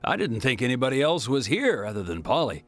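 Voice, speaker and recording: A man's voice: medium pitch, sing-song, brisk, a bit bright. An actor playing a jovial, talkative travelling salesman in a video game cutscene, with a folksy, chatty manner. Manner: chatty, folksy, chuckling, exclamatory.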